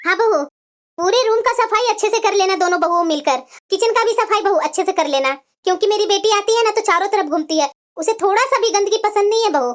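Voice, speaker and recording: A woman's voice: extremely high pitch, fast. A woman voicing a bossy middle-aged mother-in-law in a cartoon family drama, who dotes on her own children. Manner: indulgent to children, commanding to daughters-in-law.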